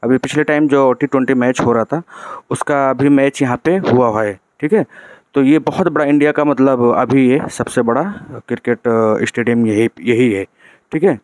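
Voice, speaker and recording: Casting: chatty male vlogger, riding through town, dry close sound, mid-pitched voice. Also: moderate pace, no echo. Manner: chatty, repeats "theek hai" as a tag. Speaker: travel vlogger. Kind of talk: casual vlog narration.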